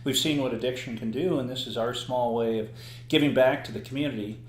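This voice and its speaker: A man's voice: medium pitch, steady tone, roomy sound. A man hosting a recovery podcast, chatting casually and playfully with a co-host and joking often. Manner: playful, quick with jokes and laughs.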